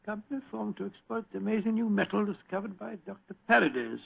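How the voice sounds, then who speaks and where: A genial, fussy British gentleman storyteller in an old radio play. His voice is high and crackly, and he blusters and repeats himself. The sound is thin and phone-like. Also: animated, quick pace, vocal fry, slightly rough, heavily acted. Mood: amused, dismissive. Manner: blustering, hesitant, repeats words. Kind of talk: vintage radio drama acting.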